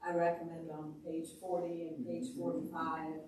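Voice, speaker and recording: A woman at a township zoning meeting, calmly explaining procedure step by step. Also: low-pitched, moderate pace, noisy background. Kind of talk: public meeting discussion. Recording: echoey meeting room from a distant mic.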